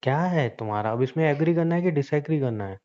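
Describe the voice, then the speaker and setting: A young man playing an obtuse, teasing boyfriend in a staged phone-call argument, deadpan and feigning innocence, on a dull call recording. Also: a little high, fast, close to an everyday voice.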